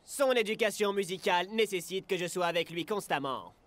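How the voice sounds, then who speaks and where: A man's voice: high-pitched, fairly quick, fairly bright tone, dry recording. A man voicing a music-loving young footballer with a dramatic, sing-song manner in a cartoon dub. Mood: conceding, cool. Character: laid-back young male musician footballer, fussy about music. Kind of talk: animated cartoon dub acting.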